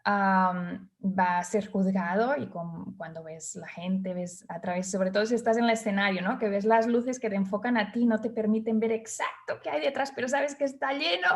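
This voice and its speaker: A woman's voice: mid-range voice, normal speed, hardly any pauses. A woman guest, hesitantly searching for words as she describes her nerves. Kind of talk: conversational chat.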